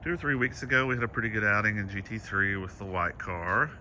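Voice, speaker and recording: A man's voice: fairly low pitch, fast, bright, forceful tone. A man with a stuffy, congested nose, giving a casual, easygoing walkthrough outdoors on a rural property. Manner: easygoing, matter-of-fact, rambling. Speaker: vlogger. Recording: outdoor noise behind the voice.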